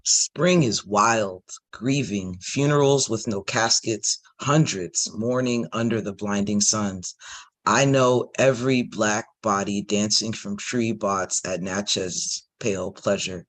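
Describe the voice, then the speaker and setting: Slow, low-pitched man reading poetry. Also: a bit bright.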